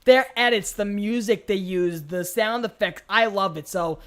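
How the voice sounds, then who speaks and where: A man hosting a podcast in casual comedic chat, crude and excitable, swearing freely and repeating his phrases. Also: high-pitched, moderate pace, smooth. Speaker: podcast host.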